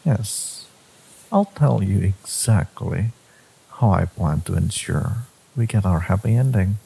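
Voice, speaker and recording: A man performs as a dark, devoted villain in an intimate romance roleplay. His low voice is mellow yet very breathy and husky. He speaks with deliberate, possessive intensity. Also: expressive, heavily acted, poor audio. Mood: solemn, protective. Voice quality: husky, gravelly. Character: brooding, devoted, possessive male villain lover. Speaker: audio roleplay voice actor. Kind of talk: dramatic romance audio roleplay monologue.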